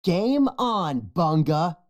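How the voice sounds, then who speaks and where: A narrator playing a high-voiced brave lion cub. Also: sing-song, drawn-out words. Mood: playful. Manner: exclamatory, urgent. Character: brave, earnest young male lion cub.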